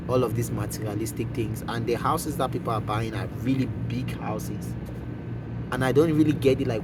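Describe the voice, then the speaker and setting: A young man from Cameroon thinks out loud in an easygoing vlog, posing questions and saying "like" and "bro" often. His voice sits in the middle range. He is picked up from a distance by the mic, with loud music playing underneath. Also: mid-range voice. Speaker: vlogger. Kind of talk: casual reflective monologue.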